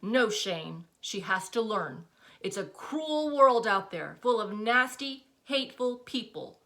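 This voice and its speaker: A woman's voice: on the high side, expressive, takes it slowly, sharp tone, voice pushed up, full character voice. A woman voicing an exasperated, protective middle-aged mother who scolds sharply and with rising emphasis. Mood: stern.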